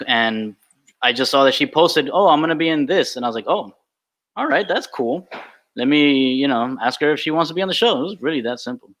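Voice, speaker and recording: A man's voice: mid-range voice, a bit bright. A man co-hosting a podcast, chatting in an informal, slangy way. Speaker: podcast co-host. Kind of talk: casual chat.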